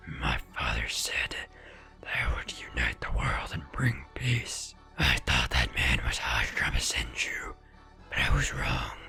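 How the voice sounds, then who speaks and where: Man acting ancient beast spirit, hushed whisper, slow solemn delivery, proud mentor, storytelling, background music. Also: a bass voice, voice pushed down, full character voice. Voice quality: whispery, breathy, unvoiced.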